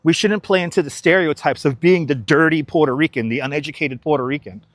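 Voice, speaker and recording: A man's voice: a little high, fast, no room sound, studio quality. A young man, talking casually and with strong opinions to his livestream viewers while walking outdoors. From New York City. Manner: chatty, opinionated, talks straight to viewers. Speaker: livestream host. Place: outdoors on city streets. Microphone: wireless clip-on mic.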